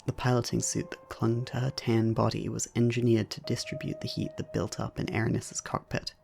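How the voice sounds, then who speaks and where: A man's voice: mid-range voice, flat delivery, fairly quick, creaky, a little mellow, a little hiss or hum. A man narrating a scripted dramatic story as the narrator of an audio drama.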